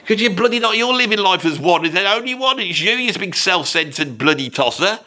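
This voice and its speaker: A man's voice: sits high, animated, a little fast, pushed and bright, exaggerated voice. A man doing a ranting Brummie bloke. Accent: Birmingham. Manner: ranting, blunt, sweary. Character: blunt opinionated Birmingham bloke, grumbling. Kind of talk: comic character monologue.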